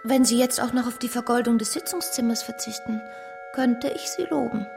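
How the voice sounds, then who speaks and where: An actress in a radio drama playing a sensible, educated woman, husky, measured and earnest as she speaks and recounts. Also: a little high, steady tone, music in the background. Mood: gently teasing. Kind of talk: radio drama acting and first-person narration.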